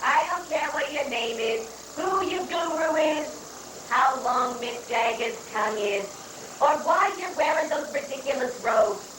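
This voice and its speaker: Lady acting as fed up customs officer, high voice, curt n insistent, comic play reading, noisy echoey tape. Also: lively intonation, measured pace. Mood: exasperated. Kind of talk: comic dramatic stage reading. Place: echoey room, mic at a distance. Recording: noisy 1980s live recording, echoey, distant mic.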